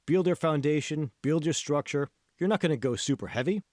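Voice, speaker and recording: A man's voice: on the high side, brisk, darkish tone, no echo, clean studio sound, close to an everyday voice. A man voicing a patient male fitness mentor in an audiobook, coaxing and instructing with emphasis. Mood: firm, motivating.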